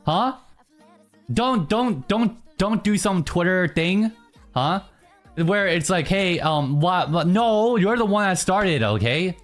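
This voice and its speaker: A streamer in casual live-stream chatter, teasing chat and answering in mock outrage between low, mumbled musings. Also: animated, slow pace, soft background music. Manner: reacts to chat, teasing, mock-indignant bursts.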